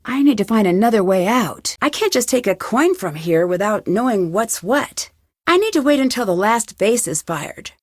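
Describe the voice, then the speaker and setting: A woman voicing a curious, determined young female detective in an adventure game, talking to herself in clear, purposeful scripted lines. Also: fairly high pitch, sing-song, moderate pace, no room sound, clean studio sound. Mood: focused, curious. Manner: clear self-talk, inquisitive and purposeful. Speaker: game protagonist.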